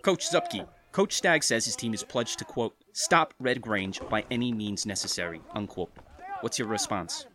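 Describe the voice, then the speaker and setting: An actor playing a needling 1920s newspaper reporter with a provocative, teasing way of asking. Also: male voice, on the low side, sing-song, moderate pace, bright, forceful tone. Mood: probing. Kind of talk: 1920s period audio drama acting.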